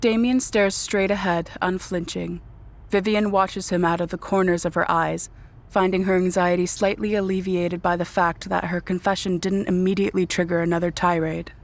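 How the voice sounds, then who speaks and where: A woman narrating a dark vampire audio drama in a composed, scripted storytelling style. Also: lowish voice, steady tone, moderate pace, lightly acted. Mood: composed, tense.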